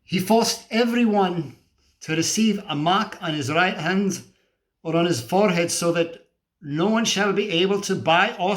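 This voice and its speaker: A man's voice: fairly high pitch, close to an everyday voice. A man performing a dramatic monologue as a cynical, feverish, scornful apocalyptic drifter, ranting emphatically and preachily with swearing and rhetorical questions. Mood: ominous.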